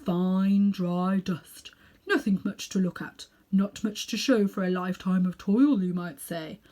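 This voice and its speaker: A woman's voice: medium pitch, fairly even intonation, a little slow, dark tone, lightly acted. A woman plays an elderly magician uncle from a children's storybook. He is sinister and full of himself, talking down to his listener with pompous, heavily stressed phrases. He takes offence easily. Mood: awed, proud. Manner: pompous, condescending, emphatic.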